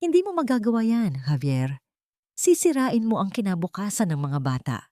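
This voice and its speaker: A woman's voice: medium pitch, big pitch swings, normal speed, mellow, no echo, clean studio sound, pitched above the performer's own voice, close to an everyday voice. A woman voicing a haughty, cruel rich old grandmother who sneers with contempt. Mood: pleading.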